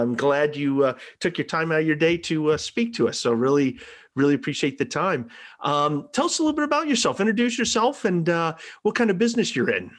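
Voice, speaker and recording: A man hosting a faith-based business podcast interview, friendly and affirming, with hesitant fillers. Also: fairly high pitch. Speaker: podcast host.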